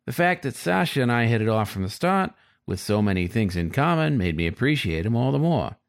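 A man's voice: mid-range voice, big pitch swings, fairly quick, vocal fry. A man voicing a sly, frustrated male fox who narrates his story in a wry, confiding, colloquial way, as in an audiobook.

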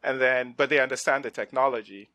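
A middle-aged man from West Africa, a venture investor speaking as a guest in a casual, animated interview, often restarting his sentences. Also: mid-range voice, fast, dry recording. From West Africa (Ghana, Nigeria). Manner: animated, frequent restarts and laughs. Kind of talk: conversational interview.

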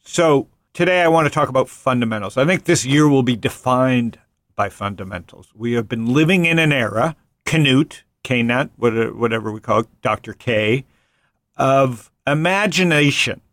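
A man hosting a casual finance podcast, loose and joking, rambling with quick asides. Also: mid-range voice, expressive, fairly bright tone, no echo. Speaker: podcast host and venture investor.

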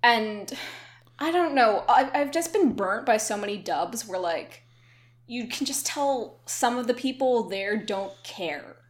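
A woman co-hosting a podcast in casual chat, opinionated and quick to laugh. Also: fairly high pitch, expressive. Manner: chatty, opinionated, laughs often. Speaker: podcast co-host.

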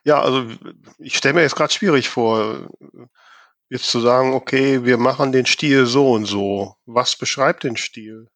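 A man's voice: medium pitch, measured pace, fairly bright tone, dry recording. A man with a German accent interviewing casually, thinking aloud as he puts his questions.

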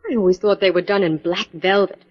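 A woman plays a detective's loyal assistant in a 1940s radio mystery. Her mid-pitched voice is forthright and full of curiosity. The vintage recording is muffled and lacking in highs. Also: fairly even intonation, fairly quick, natural voice. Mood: curious, eager.

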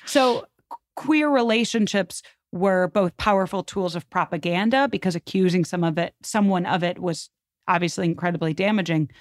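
Low-pitched woman chatting about history, close dry sound. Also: normal speed, dry recording. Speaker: podcast guest. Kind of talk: conversational history discussion.

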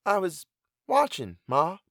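A man's voice: high voice, wildly swooping pitch, slow pace, fairly bright tone, no room sound, studio quality, pitched above the performer's own voice, heavily acted. A man voicing a sullen young farm boy who speaks in guarded, rustic dialect.

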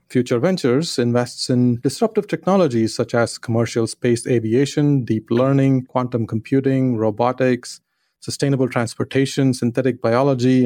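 A man hosting a podcast, opening with formal introductions and asking questions in a measured way. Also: mid-range voice, mellow, no echo, clean studio sound. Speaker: podcast host. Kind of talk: podcast interview.

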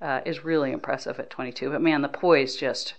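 A woman's voice: very deep voice, steady tone, brisk, vocal fry. An American woman hosting a conversational baseball podcast interview in a chatty, enthusiastic manner. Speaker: podcast host, baseball beat writer.

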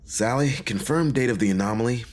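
A man playing a brisk, commanding time-ship team leader in a science fiction audio drama, terse with orders. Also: mid-range voice, close to an everyday voice. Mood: firm.

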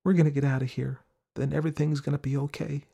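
A man's voice: a little high, animated, moderate pace, gravelly, darkish tone, pitched above the performer's own voice. A man voicing a young girl in a story, earnest, hurt and a little stubborn. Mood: reassuring.

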